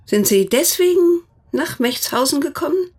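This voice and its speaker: An actress playing a stern, protective older sister in a German radio drama, speaking bluntly and formally, as if interrogating, with a husky, gravelly voice. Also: female voice, sits high, expressive, normal speed, darkish tone. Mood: probing.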